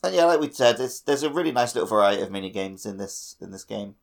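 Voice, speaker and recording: Low, gravelly-voiced man chatting. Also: lively intonation, fast talker. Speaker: podcast co-host. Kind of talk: casual gaming chat.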